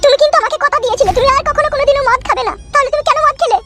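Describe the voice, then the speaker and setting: A woman's voice: extremely high pitch, racing, pushed and bright. A woman voicing an aggrieved young village wife who pleads and complains in a cartoon dub. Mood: reproachful.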